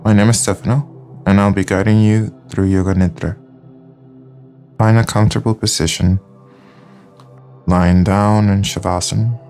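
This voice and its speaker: A man leading a yoga nidra session, giving soft, calm, unhurried instructions with long pauses. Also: lowish voice, faint music behind. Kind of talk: slow guided meditation.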